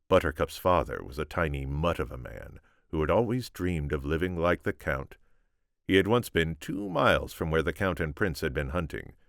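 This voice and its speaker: A man reading a story aloud as expressive narration, theatrical and deliberate. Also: sits low, no echo, studio quality. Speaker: amateur audiobook reader.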